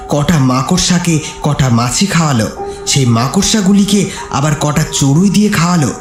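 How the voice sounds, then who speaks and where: Male narrator for dramatic horror audio story; animated intonation, echoey sound over music. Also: on the high side.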